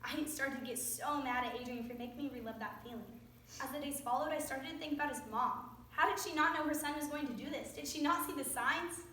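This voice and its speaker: A woman's voice: sits high, a little fast, bright, forceful tone. A woman acting an earnest, reflective shooting survivor in a readers theater monologue. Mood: earnest, troubled. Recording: very echoey room, faint noise.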